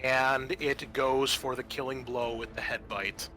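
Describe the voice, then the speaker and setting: A man acting as game master, narrating a tabletop roleplaying session in a halting, improvised way with many fillers. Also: mid-range voice, fairly even intonation, pushed and bright, soft background music.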